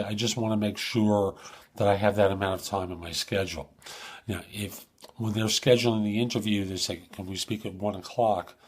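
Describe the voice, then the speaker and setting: Male advice-show host, low and raspy, informal coaching delivery with emphatic points. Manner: informal, emphatic coaching, acts out sample questions. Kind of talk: conversational advice monologue.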